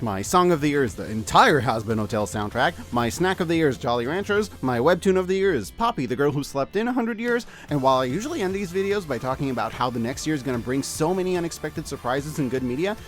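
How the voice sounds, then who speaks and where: A man hosts a scripted media review in a casual, wry style. His voice sits a little high and moves up and down a lot, very expressively. Light music plays behind him. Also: sing-song, fast talker, few pauses. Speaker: host of a media review video. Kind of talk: scripted video essay narration. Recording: background music under the voice. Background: background music.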